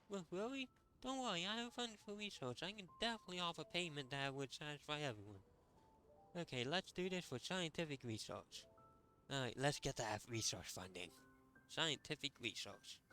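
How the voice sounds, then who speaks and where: A man reading game dialogue and text aloud in a gameplay video, halting and rereading phrases as he goes. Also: sits high, sharp tone. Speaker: game streamer.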